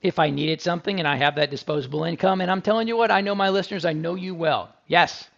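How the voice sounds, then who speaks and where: A man's voice: a little high, fast talker, creaky, fairly bright tone, highs cut off. A man hosting a car review podcast, talking casually and with enthusiasm, full of asides to his listeners.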